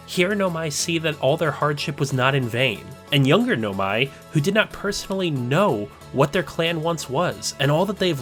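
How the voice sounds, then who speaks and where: Male narrator for a scripted essay, measured and explanatory, mid-pitched voice, faint music bed. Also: a bit bright.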